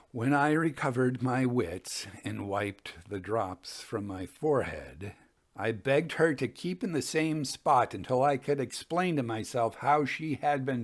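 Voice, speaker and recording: A man reading Victorian ghost tales as a storytelling host, in a measured, deliberate and expressive way. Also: medium pitch. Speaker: host and reader of ghost stories.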